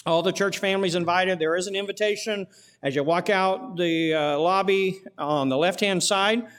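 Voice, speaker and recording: A male pastor with a slightly high voice leads his church through announcements and a prayer. He speaks in a folksy way, repeating words and filling gaps with "uh". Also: steady tone, moderate pace, a bit bright. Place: church sanctuary.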